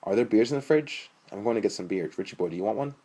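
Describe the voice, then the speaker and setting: A man's voice: on the low side, expressive, quick pace, mellow. A man voicing a crude, wisecracking young guy at a party, slangy, sarcastic and emphatic, in an audiobook-style story. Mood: casual, teasing.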